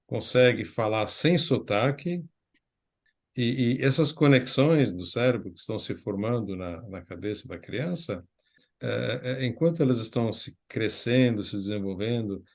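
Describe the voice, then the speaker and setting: Man hosting a panel, explaining. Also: mid-range voice. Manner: explanatory, fillers, hands the floor to others. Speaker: panel host. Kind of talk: moderating a panel talk.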